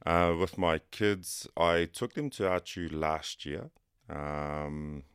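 A man, raised there after a Cook Islands birth, answering a radio interview as a police officer in a halting, soft-spoken way with many pauses. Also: low-pitched, fairly even intonation, clear, resonant tone, no room sound, studio quality. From Cook Islands, raised in New Zealand. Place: radio studio.

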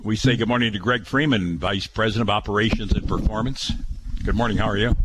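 A man's voice: fairly low pitch, fairly even intonation, fast, background noise. A man hosting a radio interview, chatty with friendly banter. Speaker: radio host.

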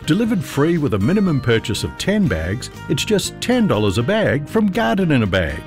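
Male ad read, animated and a bit gravelly, punchy sell over soft guitar bed. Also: on the high side, normal speed. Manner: upbeat, punchy sales delivery. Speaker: advertisement voice-over. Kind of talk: scripted radio ad read. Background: guitar music.

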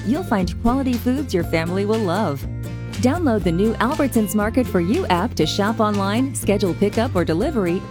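A woman reads a grocery commercial with friendly, polished delivery. Clear music sits under her voice. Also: a little high. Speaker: ad announcer.

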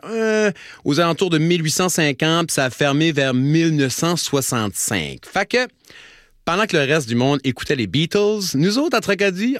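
A man in his forties with an Acadian French accent, hosting a radio show and telling a wry, playful anecdote with dramatic pauses. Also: fairly high pitch, fairly bright tone, no echo, studio quality. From Acadian New Brunswick, Canada. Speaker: radio host. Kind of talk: radio storytelling.

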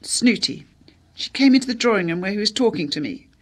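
A woman's voice: medium pitch, animated, a little fast, voice pushed up, lightly acted. A woman voicing a brave, upset teenage girl who speaks earnestly, as a character in an audiobook. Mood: composed, hurt. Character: brave teenage daughter, upset but holding herself together.

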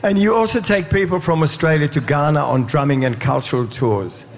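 Higher-voiced male radio interviewer. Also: a little fast.

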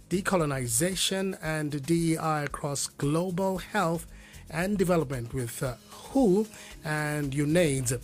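A man hosting a radio talk show, upbeat, with some fillers and restarts. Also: fairly high pitch. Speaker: radio host.

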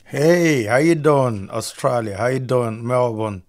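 A man's voice: medium pitch, measured pace, darkish tone. Upbeat man from Nigeria. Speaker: musician guest. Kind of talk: radio interview.

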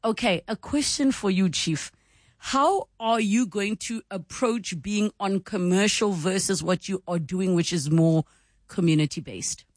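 A woman's voice: medium pitch, measured pace, darkish tone, dry recording, clean studio sound. A woman, hosting a radio chat, chatty and laughing often as she asks her guests probing questions. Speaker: radio host. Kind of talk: casual on-air chat.

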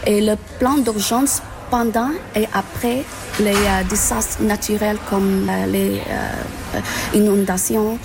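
A woman from the Philippines, answering an interview at a climate event in halting speech full of fillers and restarts. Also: medium pitch, steady tone, vocal fry, music in the background. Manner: halting, many fillers and restarts, mixes in English terms. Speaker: conference participant.

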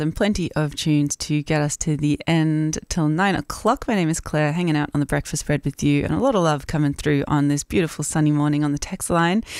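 Woman, radio host, slightly croaky, gravelly texture, chatty and easygoing, dry close studio sound. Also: low voice, dry recording. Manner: chatty and relaxed, with fillers. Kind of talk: casual community radio presenting. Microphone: studio microphone.

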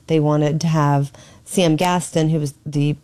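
A woman filling in as a radio talk host from her home studio, hoarse and losing her voice, talking in a chatty, opinionated way. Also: sits low, steady tone, unhurried, dark tone. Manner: chatty and opinionated, thinking aloud. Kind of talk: casual talk radio commentary.

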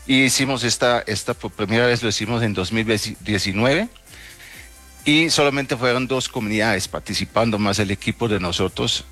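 A middle-aged man from the Netherlands, a native Dutch speaker, answering interview questions conversationally with halting, hesitant phrasing. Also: lowish voice, fairly bright tone, faint music behind. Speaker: interview guest, travel agency owner.

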